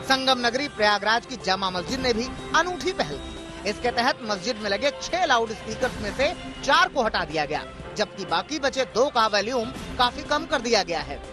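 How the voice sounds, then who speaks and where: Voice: medium pitch, big pitch swings, moderate pace, hardly any pauses, music in the background. A news narrator reading a scripted, dramatic television report.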